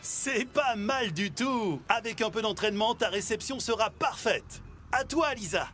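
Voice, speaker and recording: A woman's voice: on the high side, expressive, measured pace. A woman voicing a male truck who coaches dance, upbeat, patient and instructive, in a children's cartoon. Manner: enthusiastic, instructive. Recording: loud music behind the voice.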